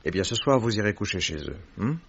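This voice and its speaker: A man playing a methodical, paternal detective in a French radio drama, questioning in a measured, soothing manner. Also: on the low side, animated, creaky, slightly rough, full character voice. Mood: calm, reassuring. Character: Victorian detective, methodical, probing, paternal gentleman. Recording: dull, noisy old radio recording.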